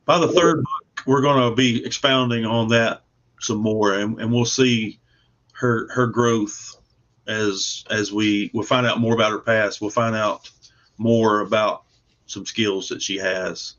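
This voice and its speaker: A man's voice: lowish voice, fairly even intonation, unhurried, fairly bright tone. Man chatting casually, dull lo-fi audio. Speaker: comic book creator, guest. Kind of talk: casual chat about own project.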